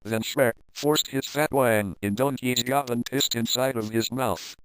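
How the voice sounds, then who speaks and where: A man's voice: mid-range voice, fairly even intonation, unhurried, a bit bright, clean tone, dry recording, rough recording. A man reading a comic fan fiction story aloud in a playful, crude, dramatic manner.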